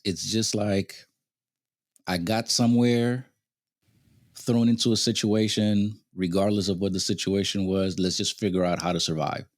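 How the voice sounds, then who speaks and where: A man in his thirties with a deep, low voice tells his life story as an interview guest, laughing easily as he goes. He grew up in Haiti and Venezuela. The sound is close and dry, with no room around it. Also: no echo. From Haiti, born in Venezuela. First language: Haitian Creole or Spanish. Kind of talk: casual interview conversation.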